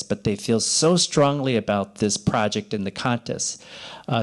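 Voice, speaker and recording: A man in his sixties speaks as the organizer of an awards ceremony. His remarks are informal thanks, delivered haltingly. He often repeats himself and rephrases mid-sentence. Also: medium pitch.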